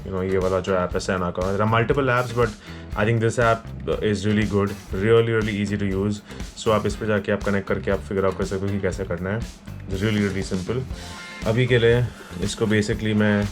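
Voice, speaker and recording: A man's voice: lowish voice, fairly even intonation, a little slow, over music. A man with an Indian accent gives a casual, informal product review, explaining things to viewers and switching between two languages. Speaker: motorcycle gear reviewer. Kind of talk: casual product review, mixing Hindi and English.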